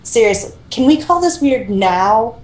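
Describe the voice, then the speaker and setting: A woman's voice: on the high side, expressive, unhurried. A woman voicing a wry, uneasy male government agent in an amateur fan fiction reading. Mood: uneasy, incredulous. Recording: roomy, echoing home recording.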